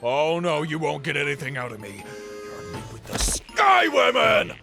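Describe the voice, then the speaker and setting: A man's voice: a little high, huge pitch swings, moderate pace, vocal fry, music in the background, heavily acted. A man voicing an irritable, paranoid animal-person fruit vendor in an anime parody, blustering with indignation. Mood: paranoid, indignant.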